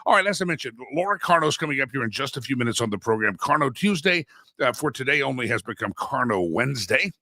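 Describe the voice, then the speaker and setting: Guy hosting a talk show w a head cold, stuffy, bright edgy voice, dry close mic. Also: mid-range voice, lively intonation, quick pace, no room sound. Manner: folksy, chatty, many restarts and asides. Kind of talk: casual political talk show monologue. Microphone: studio microphone.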